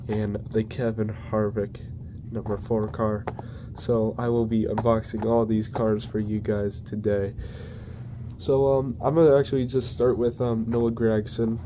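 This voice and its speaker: Young man, stuffy from a cold, flat and halting home-video delivery, noticeable background noise. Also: lowish voice. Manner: hesitant, many ums and restarts. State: congested from a cold. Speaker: diecast collector reviewing model cars. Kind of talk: casual unboxing vlog. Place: indoors at home, plain wall. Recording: noisy home recording.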